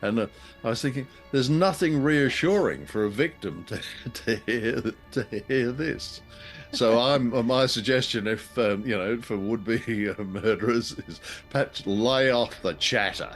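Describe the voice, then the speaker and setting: A man's voice: medium pitch, a little slow, soft background music. A man with an Australian accent co-hosting a show in casual, unscripted chat, with a jokey, dry way of telling things. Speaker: podcast co-host.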